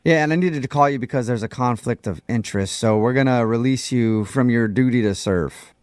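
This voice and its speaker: A man's voice: medium pitch, a little fast, darkish tone, dry recording, clean studio sound, natural voice. A man playing a chatty county court clerk on a prank phone call, casual, evasive and amused as he breaks awkward news. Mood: breezy, friendly.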